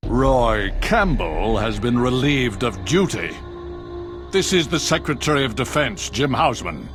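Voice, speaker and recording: An actor playing a smug, cold, scheming government official who talks with unhurried condescension in a video game. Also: male voice, medium pitch, lively intonation, over music, natural voice. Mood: officious. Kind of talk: video game cutscene acting.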